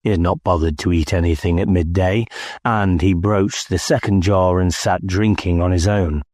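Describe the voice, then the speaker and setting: A man's voice: sits low, fairly even intonation, normal speed, a little mellow, no room sound, clean studio sound. A man reading scripted first-person story narration as an audiobook narrator.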